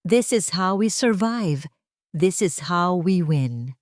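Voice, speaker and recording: A woman with a Filipino accent voicing a scheming, imperious rich elderly matriarch with a sharp, authoritative manner in an audio drama. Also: lowish voice, animated, slow pace, dry recording, clean studio sound. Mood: cold, ruthless.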